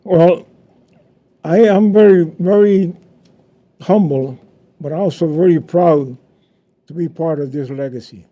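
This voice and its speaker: A man's voice: fairly high pitch, slow, long pauses, dark tone. An older man from Cuba with a Cuban Spanish accent, a former ballplayer earnestly recounting memories on a panel. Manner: earnest, proud, with restarts. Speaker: panel guest, former baseball player.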